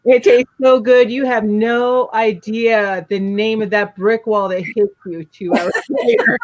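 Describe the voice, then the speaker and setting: A woman's voice: fairly high pitch, fairly even intonation, measured pace, lo-fi sound. A woman co-hosting a live crochet show over a video call, chatting casually, laughing often and greeting viewers by name. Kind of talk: casual chat on a livestream.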